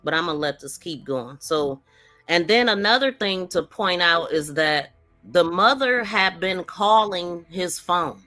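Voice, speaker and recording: A woman's voice: on the low side, lively intonation, unhurried, sharp tone, soft background music. A woman hosting a casual true-crime live commentary, talking in an opinionated, questioning way. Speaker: podcast host.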